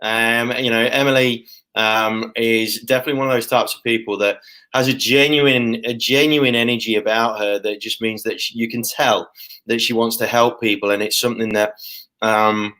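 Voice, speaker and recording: A man's voice: mid-range voice, steady tone, normal speed, sharp tone, low-quality recording. A man hosting a live interview show, chatty and full of fillers, heaping praise on his guest. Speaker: host.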